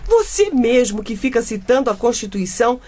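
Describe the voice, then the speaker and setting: A middle-aged wife and mother, in a comedic radio drama. Her high voice rises and falls dramatically. She is suspicious and exasperated, interrogating her family with theatrical flair. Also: sing-song, moderate pace, mellow. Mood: incredulous.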